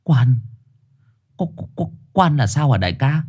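A man's voice: mid-range voice, very animated, unhurried, darkish tone, breathy edge. A man voicing a timid young stray dog that begs and stammers. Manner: stammering, begging.

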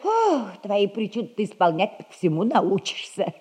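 An actress playing a brisk, scheming old servant nanny in a classic radio comedy, bantering in folksy speech. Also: female voice, mid-range voice, big pitch swings, warm tone, slightly rough. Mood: playful, conspiratorial.

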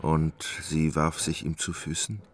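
A man narrating a literary radio play in a measured storytelling manner. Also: a bass voice, flat delivery.

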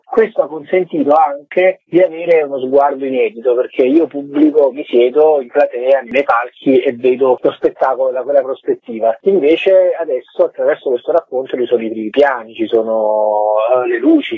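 Tinny echoing phone line, husky man, medium pitch, conversational interview guest, discursive explanations. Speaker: interviewed film director. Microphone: telephone.

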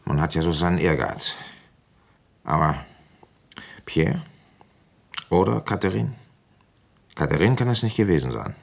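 A shrewd village police inspector telling his case, super low voice, measured n thoughtful, radio play. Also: lively intonation, vocal fry, heavily acted. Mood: calm, probing. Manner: measured, reflective, conversational storytelling. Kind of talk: German radio crime drama, first-person narration and dialogue.

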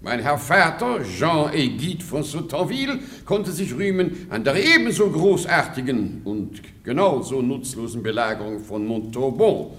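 An actor playing a pompous, boastful and forgetful baron in a radio play of a classic comedy. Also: male voice, mid-range voice, sing-song, measured pace, hardly any pauses, fairly bright tone. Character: pompous, blustering, forgetful aristocratic baron and father-in-law.

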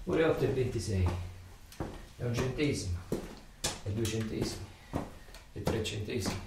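An actor playing a weary, embittered factory worker in a theatrical radio monologue, with a southern Italian, Neapolitan accent and incantatory, repetitive phrasing. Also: male voice, on the high side, close to an everyday voice. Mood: hushed, brooding. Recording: echoey room, far from mic, noisy.

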